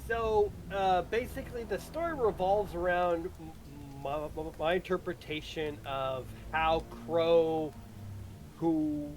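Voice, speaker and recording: Halting, higher-voiced man over background noise. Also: a little mellow. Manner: halting, repeats words while explaining. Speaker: writer of an audio drama. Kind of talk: casual rambling story recap on a livestream.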